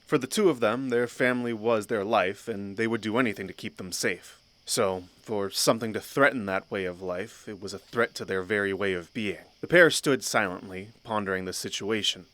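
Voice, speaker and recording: A man with a low-pitched voice narrates a fantasy audio drama. He reads scripted prose as a storyteller, with music playing behind him. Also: normal speed, few pauses, fairly bright tone.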